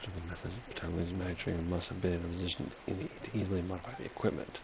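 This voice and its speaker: A man's voice: deep voice, completely flat delivery, quick pace. A man giving casual gameplay commentary, muttering as he thinks aloud and reads. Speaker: gamer recording a playthrough. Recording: clear game noise behind voice.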